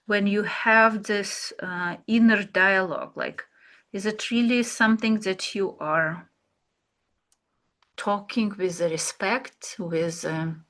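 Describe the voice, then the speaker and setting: A woman, an English speaker, teaching an art therapy class, speaking slowly and reflectively with fillers and pauses. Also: medium pitch, fairly bright tone. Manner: slow, searching, with fillers and pauses. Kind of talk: relaxed reflective class talk.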